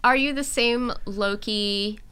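A woman's voice: mid-range voice, takes it slowly, bright, forceful tone, smooth. A woman hosting a casual interview podcast, chatting and asking questions with jokey asides.

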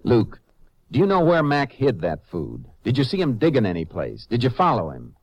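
An American man acting a stern, steady Texas Ranger in a 1950s radio western, speaking in a terse, firm, folksy way. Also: medium pitch, moderate pace, dry recording. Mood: firm, pressing. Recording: muffled old radio recording.

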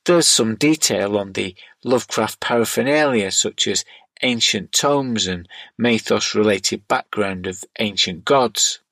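A man's voice: lowish voice, unhurried, fairly bright tone, no room sound. A middle-aged man reading scripted narration and listener letters aloud as a podcast host.

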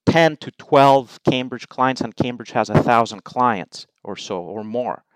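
A middle-aged man from Greece, a guest in an interview, explaining his views in measured, numbered points. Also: mid-range voice, clear, resonant tone, dry recording, poor audio. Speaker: investment allocator, guest. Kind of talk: interview answers.

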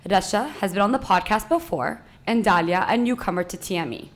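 A woman host opens her podcast in an earnest tone, then asks her guests questions at a measured pace, with a few "um"s along the way. Her voice sits at an ordinary middle pitch. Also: lively intonation. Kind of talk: scripted podcast intro, then interview.

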